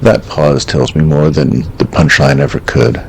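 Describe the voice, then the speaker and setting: A man acting as a late-night radio host with a low, creaky voice. He is calm and dryly wry, delivering eerie scripted monologues in a measured, reflective way. There is faint noise behind him.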